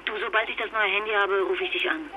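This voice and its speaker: An actress playing a fragile, exhausted wife and mother stranded abroad, speaking haltingly in a naturalistic radio drama through a telephone filter. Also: mid-range voice. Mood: flustered, hurried. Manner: halting, stammering, emotionally fragile. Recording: noisy muffled phone-line sound.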